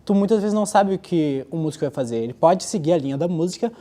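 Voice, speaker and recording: Mellow man, casual talk. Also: a little high, animated, fast. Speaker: musician. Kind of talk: casual interview.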